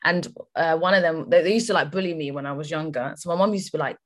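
Brief: Kenyan-born female host, low-pitched, chatty and affirming. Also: fast. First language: Swahili. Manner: warm affirming interjections, many fillers. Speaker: podcast host. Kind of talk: casual conversational interview.

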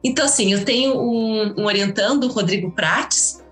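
A woman's voice: mid-range voice, normal speed, fairly bright tone, reverberant, soft background music. A woman speaking as a guest historian in a casual interview, rambling with many restarts and fillers.

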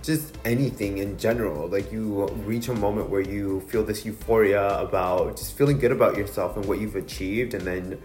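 A man's voice: lowish voice, fairly even intonation, normal speed, few pauses, darkish tone, faint music behind. Candid man in his thirties, roomy sound. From Mexican heritage. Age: 30s. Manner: candid, laughs often, swears casually. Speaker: podcast co-host. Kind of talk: casual chat.

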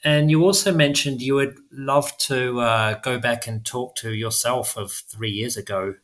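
A man with a medium-pitched voice hosts an interview. He asks his questions in a steady, measured way. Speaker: podcast host.

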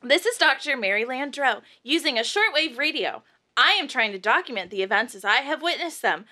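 A woman's voice: high-pitched, lively intonation, a little fast, sharp tone. A woman playing a theatrical, flighty radio performer in a radio play, with an exaggerated delivery. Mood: flustered, halting.

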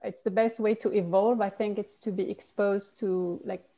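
A woman speaking English answers as an interview guest in a mellow, warm voice. She thinks aloud, circling back with fillers and restarts as she talks. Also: medium pitch, fairly even intonation, normal speed. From Switzerland. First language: French. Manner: reflective, rambling with many restarts and fillers. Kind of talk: casual conversational interview.

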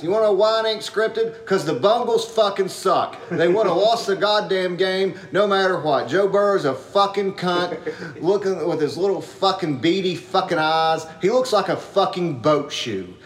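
Give me a man ranting crudely, voice a bit high, in an echoey room. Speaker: segment host. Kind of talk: profane comedic rant.

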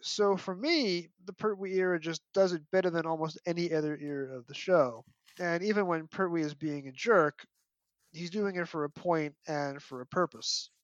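A man's voice: on the high side, moderate pace, no echo. A man giving an articulate, detailed analysis as a guest in a podcast discussion. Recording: dull, dry lo-fi audio.

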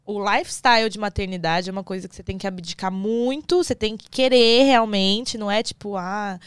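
A woman's voice: mid-range voice, brisk, clear, resonant tone, no echo. A young woman from Brazil talking frankly and giggling easily as a guest in a casual chat. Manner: frank, giggly, lively storytelling. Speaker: interview guest.

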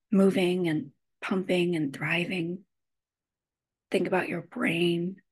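A woman leading a guided meditation, giving gentle instructions in measured phrases with frequent pauses. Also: fairly low pitch, breathy edge.

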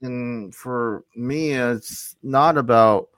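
A man's voice: mid-range voice, fairly bright tone, dry recording. Earnest man hosting a reflective talk. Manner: earnest, halting, restarts often. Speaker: podcast host. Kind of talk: reflective casual conversation.

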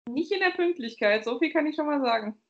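A woman's voice: high-pitched, lively intonation, fairly quick, muffled recording. A woman from Bavaria co-hosting a podcast, chatting casually, rambling and laughing often. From Bavaria, Germany. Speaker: podcast co-host. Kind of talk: casual chat.

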